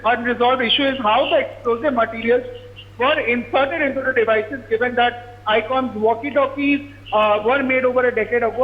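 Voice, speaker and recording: Phone-like line, man, Indian accent, very high voice, live correspondent report, halting and repetitive. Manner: halting, repetitive delivery with verbal tics. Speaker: news correspondent. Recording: thin, phone-like radio-style line.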